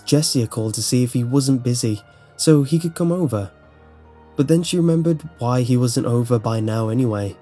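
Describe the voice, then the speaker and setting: A man narrates a scripted horror story. His voice is mid-pitched and dark, with a mellow tone. Faint music plays underneath.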